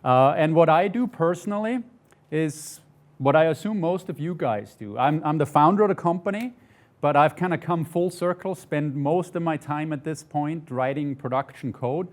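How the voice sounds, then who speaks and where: A man's voice: a little high, moderate pace. A middle-aged man from Austria giving an informal technical presentation in a conversational way, often tagging statements with "right?". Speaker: conference presenter, software developer. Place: indoor studio stage with desk and laptop.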